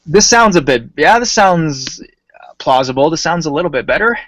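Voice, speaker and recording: A man hosting a voice chat in a relaxed, slangy way, calling the guests "bro" and pressing them with questions. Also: a little high, normal speed, no echo. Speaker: host.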